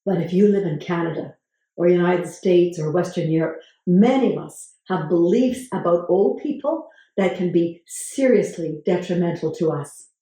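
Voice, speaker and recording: A woman hosting a channel, talking to camera about research, with a gravelly voice today and a measured way of asking viewers questions. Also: lowish voice, mellow. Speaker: host. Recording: very echoey room.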